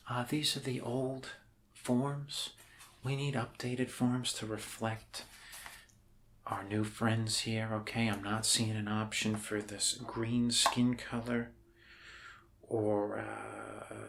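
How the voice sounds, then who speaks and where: A man doing an improvised, soft-spoken ASMR roleplay as an awkward, reassuring doctor, rambling hesitantly and apologetically. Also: medium pitch. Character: awkward, unconventional, reassuring doctor welcoming an alien. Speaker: ASMR performer playing a doctor. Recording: clear background noise.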